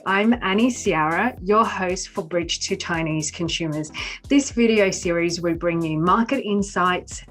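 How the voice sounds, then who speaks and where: A woman's voice: medium pitch, a bit bright. A woman hosting a business interview in a friendly manner, laughing now and then. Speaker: host.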